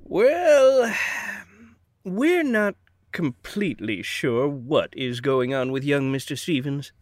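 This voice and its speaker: Folksy older mayor with swooping, high-pitched voice. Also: male voice, very animated, slow pace, pauses often, a little mellow, no echo, clean studio sound. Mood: guarded, confiding. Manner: folksy, avuncular, hemming and hedging. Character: genial, evasive older small-town male mayor. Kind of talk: audio drama dialogue.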